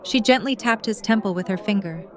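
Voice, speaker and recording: A woman's voice: mid-range voice, brisk, a crowd in the background. A woman narrator reading scripted third-person story narration for an audio drama.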